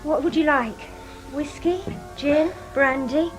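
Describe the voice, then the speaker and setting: A woman's voice: high voice, slow pace, over music. A woman playing a tipsy, childlike burglar's wife with bitterness underneath, in a radio crime drama. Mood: tipsy, anxious.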